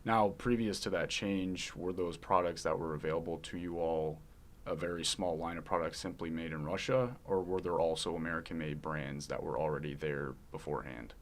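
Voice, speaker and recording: Young guy, low voice, student podcast host, reads formal scripted questions. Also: steady tone, moderate pace. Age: young adult. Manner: formal, reads prepared lines. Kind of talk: scripted intro and interview questions.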